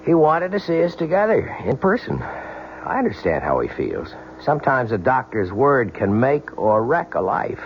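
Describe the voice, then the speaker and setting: An actor playing a patient, soothing husband in a 1970s radio drama, with a soft, gravelly voice. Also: male voice, mid-range voice, very animated, moderate pace, a little hiss or hum. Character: calm, reasoning young husband soothing his wife. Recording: dull, humming old radio broadcast recording.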